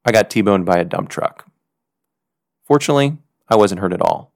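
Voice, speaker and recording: Guy reading his podcast story, low and kinda gravelly, dry close sound, faint music under it. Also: expressive, a little mellow, no room sound. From United States. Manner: earnest, reflective, measured delivery. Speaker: podcast host. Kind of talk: scripted storytelling narration.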